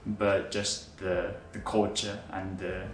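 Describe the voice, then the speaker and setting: A young man with a lowish voice answers interview questions in a loose, rambling way. He is recorded from a distance in an echoey room, with faint noise behind him. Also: slow, faint music behind. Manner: relaxed, rambling with fillers. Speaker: student interviewee.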